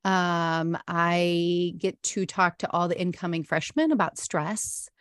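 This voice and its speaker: Woman, middle-aged, low voice, earnest, lots of ums, dry close recording. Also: fairly bright tone, dry recording. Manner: earnest, frequent ums, quick bursts. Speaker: podcast guest, meditation instructor. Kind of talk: casual interview chat.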